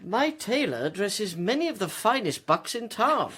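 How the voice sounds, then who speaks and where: A man playing a stout, vain, bashful English colonial official in a period radio drama, pompous, flustered and stammering, with a husky voice. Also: high voice, very animated, a little slow, bright, forceful tone, a little hiss or hum, full character voice. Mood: flattered, self-important.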